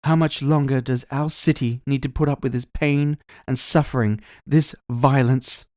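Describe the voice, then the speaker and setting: A man playing a centuries-old female elf politician, giving an impassioned, grandiose rally speech in an audio drama with drawn-out, emphatic phrasing. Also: mid-range voice, fairly even intonation, a little mellow, no room sound, clean studio sound, pitched above the performer's own voice, lightly acted.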